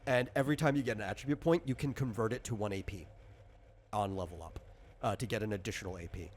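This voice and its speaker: A man casually explaining tabletop game rules as a game master, in a rambling, halting way that circles back and rephrases. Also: lowish voice, a little hiss or hum.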